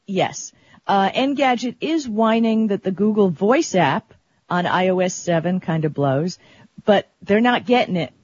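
A woman's voice: medium pitch, animated, measured pace, a little mellow, highs cut off, slight background noise. A woman co-hosting an internet radio show, talking tech casually in an emphatic, opinionated way.

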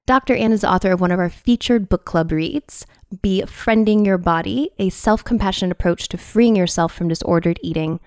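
A young adult woman hosting a podcast, chatty and casual, saying "like" a lot, slightly raspy from a cold. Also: mid-range voice, a little fast, a little mellow, dry recording, studio quality. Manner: chatty, uses "like" a lot, self-deprecating. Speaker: podcast host.

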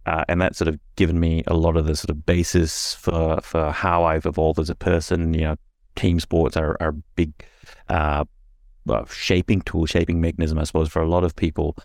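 A man from Singapore talking as an interview guest, halting and self-deprecating, laughing at himself. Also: a bass voice, level tone, a little mellow, no echo, studio quality. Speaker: podcast guest. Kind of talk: casual interview conversation.

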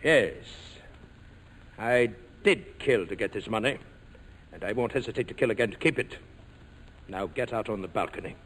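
An actor playing a ruthless, murderous smuggler in a 1940s radio drama, menacing and commanding. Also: male voice, on the low side, animated, moderate pace, long pauses, a bit bright. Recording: old radio broadcast with long reverb.

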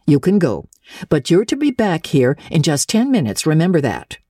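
Woman playing a strict older woman, low husky voice, curt, dry sarcasm, emphatic, lively pitch, storybook. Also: moderate pace, no room sound, exaggerated voice. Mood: sarcastic. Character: stern, no-nonsense older woman guardian, sarcastic. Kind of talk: dramatic audiobook reading of classic literature.

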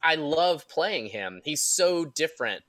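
A man talking casually on a panel as a podcast actor, earnest and somewhat halting in how he phrases things. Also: fairly high pitch, big pitch swings, fairly bright tone, no room sound. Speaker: actor and player on an audio drama podcast.

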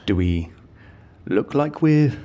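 A man's voice: medium pitch, expressive, slow, mellow. An actor playing a hesitant, doubtful man, the reluctant partner in a chase, stammering and incredulous in a comedy audio drama. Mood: flustered, awkward.

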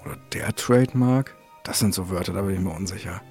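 A man's voice: low voice, fast talker, darkish tone, soft background music. A man hosting a casual podcast, talking in a rambling, self-deprecating way that jumps from thought to thought. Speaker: podcast host.

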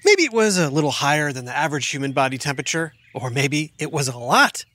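A woman's voice: sits low, expressive, fast, fairly bright tone, no room sound, voice pushed down. A woman voicing a friendly kids' show narrator with a stuffed-up nose from a bad cold, quizzing listeners, recorded dry in a studio. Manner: quizzing kids with multiple-choice questions.

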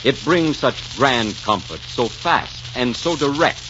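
A muffled, crackling old broadcast carries a man announcing a commercial in 1940s style. His delivery is polished and emphatic, with very lively rises and falls meant to persuade. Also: medium pitch, slow. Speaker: radio announcer. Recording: noisy, muffled 1940s radio recording.

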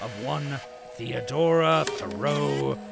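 An actor playing a formal, arch narrator who addresses the listener in a scripted audio drama. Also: male voice, medium pitch, takes it slowly, gravelly. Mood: arch, grand. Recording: loud music behind the voice.

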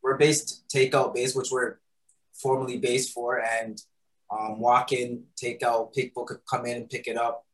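A man's voice: medium pitch, little pitch movement, a little slow, fairly bright tone, rough recording. A man answering interview questions in a loose, conversational way, filling his answers with "um" and "right?". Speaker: guest, patty shop founder. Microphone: recording studio mic.

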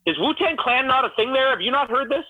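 A man's voice: extremely high pitch, lively intonation, quick pace, no echo, narrow, tinny audio. A man co-hosting a podcast, telling wry stories in casual chat. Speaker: podcast co-host.

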